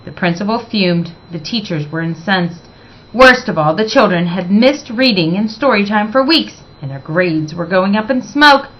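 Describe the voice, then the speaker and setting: A woman reading a picture book aloud in an expressive, deliberate way, stressing words for young listeners. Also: mid-range voice, muffled recording. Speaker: children's story reader.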